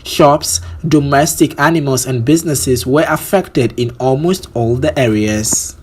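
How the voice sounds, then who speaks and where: A man with a Nigerian accent reads scripted news as a presenter, in a formal, measured delivery. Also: mid-range voice, slightly rough.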